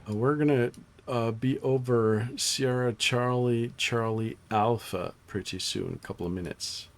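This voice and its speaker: A man in his forties hosting a relaxed, teasing group chat while flying, talking over a voice-chat headset. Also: mid-range voice, a little slow. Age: 40s. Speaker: flight sim channel host.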